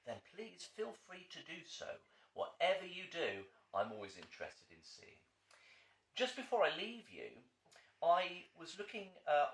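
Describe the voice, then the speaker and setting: A man's voice: fairly high pitch, a bit bright. A man, a primary school teacher, talks expressively and encouragingly to young children in a roomy, echoing space. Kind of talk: storytime talk addressed to young children.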